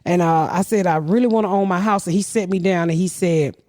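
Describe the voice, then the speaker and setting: A woman's voice: on the low side, brisk, warm tone, no echo, clean studio sound. A middle-aged woman, a podcast host telling a long story in a blunt, profane, rambling way. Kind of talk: casual storytelling.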